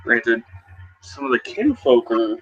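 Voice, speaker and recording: A man narrating and improvising in character as a role-playing game player, in a halting, conversational way. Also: mid-range voice, sing-song, breathy edge, highs cut off, soft background music.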